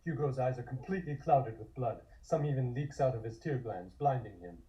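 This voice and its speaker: A man's voice: medium pitch, fairly quick, lo-fi sound. A man reading scripted horror stage directions as the narrator of a table read.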